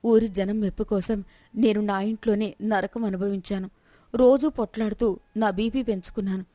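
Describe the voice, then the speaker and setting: A woman's voice: mid-range voice, fairly even intonation, normal speed, dark tone, no echo, lightly acted. A woman voicing a proud, domineering older mother-in-law in a family moral story. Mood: remorseful. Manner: bossy.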